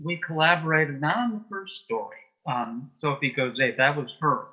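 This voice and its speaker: A man answering as an interview guest in a conversational way, with brief, deliberate replies. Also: on the high side. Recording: dull, lo-fi sound.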